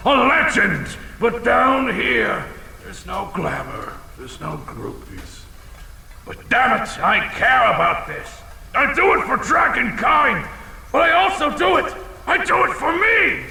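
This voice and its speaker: A man voicing a battle-scarred old dragon in an audio drama, fiercely proud and bombastic, with a gravelly, folksy delivery. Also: very high-pitched, animated, slow, sharp tone, exaggerated voice. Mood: earnest, fatherly. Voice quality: gravelly, creaky. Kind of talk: audio drama character acting. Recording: reverberant chamber, some background noise.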